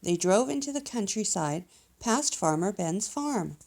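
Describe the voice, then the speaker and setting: A woman narrating a children's storybook in an expressive read-aloud style. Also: mid-range voice, a little slow. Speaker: storybook reader.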